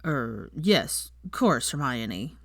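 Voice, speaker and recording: A woman voicing a big, kind, awkward gamekeeper man with a gruff voice and halting, rustic, muttering speech, as in an audiobook. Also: very deep voice, big pitch swings, no room sound, clean studio sound, voice pushed down, exaggerated voice. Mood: embarrassed, hesitant.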